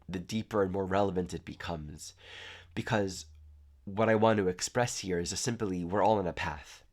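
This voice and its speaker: Low-voiced guy, stuffy nose, calm earnest spiritual talk. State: congested, nasal. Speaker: astrology teacher. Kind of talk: reflective spiritual talk to camera.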